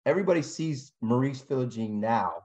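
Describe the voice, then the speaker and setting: A man's voice: medium pitch, lively intonation, brisk, darkish tone. A man co-hosting a podcast, asking long, rambling questions full of hedges. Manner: rambling, hedging questions with "kind of" and "you know". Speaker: podcast co-host. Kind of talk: podcast interview.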